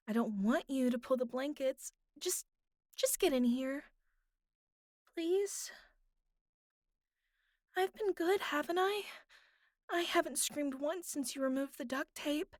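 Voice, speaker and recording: A woman playing a bratty but vulnerable young captive in an intimate audio roleplay, speaking haltingly with pleading, pouty turns and soft breathy asides. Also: high voice, dry recording, studio quality. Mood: needy, pleading.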